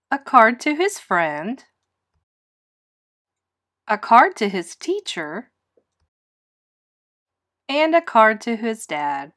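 A woman reading a children's picture book aloud in a deliberate, expressive manner. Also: fairly high pitch. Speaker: storybook reader. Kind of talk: children's read-aloud narration.

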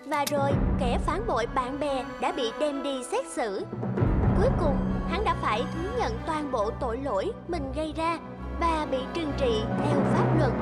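A woman narrating a cartoon fairy tale in a calm, even storytelling manner, over loud music. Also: sits high.

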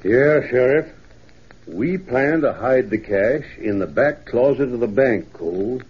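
An actor playing an authoritative small-town banker with a rural Western American accent in an old radio drama. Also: male voice, medium pitch, expressive, measured pace, a little hiss or hum, lightly acted. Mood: businesslike. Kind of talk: 1930s radio drama acting. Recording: muffled, lo-fi 1930s radio recording.

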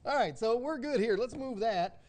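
A man's voice: extremely high pitch, expressive, moderate pace, a little mellow. An older man hosting a TV cooking segment, chatting casually with a guest in a folksy, jocular way. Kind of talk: casual on-air interview. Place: TV studio kitchen set.

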